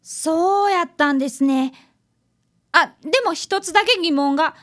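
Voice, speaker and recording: Woman voicing an earnest, very high-pitched little boy detective. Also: a little slow, fairly bright tone. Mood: puzzled. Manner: polite, earnest, questioning. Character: earnest primary-school boy apprentice detective, polite and worried. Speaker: actor and narrator in radio drama. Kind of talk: community radio drama acting with narration.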